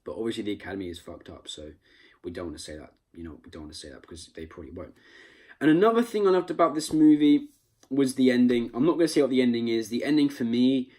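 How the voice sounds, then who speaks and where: Young male vlogger, London accent, informal and emphatic, opinionated amateur reviewer with a mid-pitched voice. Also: quick pace, dark tone. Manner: emphatic, opinionated, casually sweary. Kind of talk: casual vlog rant and review.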